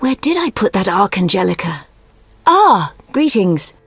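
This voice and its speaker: An actress playing a stern, motherly priestess healer in a video game, with a raspy, creaky voice. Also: medium pitch, sing-song, a little slow, fairly bright tone, no echo, clean studio sound, soft background music, heavily acted. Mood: firm, caring.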